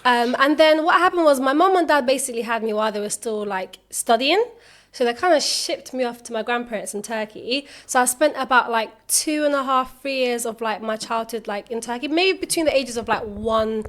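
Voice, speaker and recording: A woman's voice: on the high side, a little fast. A young woman with a South London accent, chatting casually as a podcast guest and using lots of fillers. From South London, England. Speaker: podcast guest, singer-songwriter.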